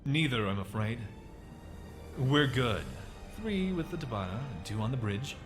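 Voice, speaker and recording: An actor playing a persuasive space pirate leader, controlled and wry, in an audio comic dub. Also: male voice, medium pitch, expressive, normal speed, soft background music, natural voice. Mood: controlled, bargaining. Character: smooth-talking, bargaining male space pirate leader.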